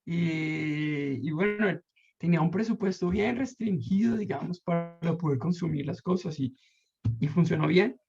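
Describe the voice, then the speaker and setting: Colombian guy in his thirties, raspy voice with fry, muffled lo-fi take, rambling interviewee. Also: fairly high pitch, unhurried, a little mellow, faint music behind. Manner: rambling, reflective, many fillers and restarts. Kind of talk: casual storytelling interview. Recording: muffled lo-fi recording.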